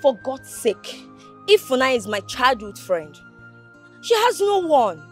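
Protective Nigerian woman, high, swooping pitch. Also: sing-song, slow, faint music behind, exaggerated voice. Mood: indignant. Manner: pleading, emphatic. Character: protective, exasperated young woman caring for her troubled friend. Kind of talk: film drama acting.

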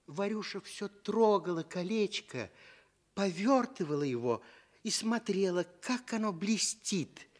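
A man narrating a scripted fairy tale as an expressive storyteller. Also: high-pitched, unhurried.

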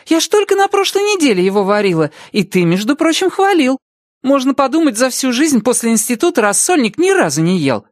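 A woman's voice: high-pitched, sing-song, a little fast, dry recording, studio quality, voice pushed up. A woman voicing a spirited, stubborn wife who teases her husband in a playful, indignant way. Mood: teasing.

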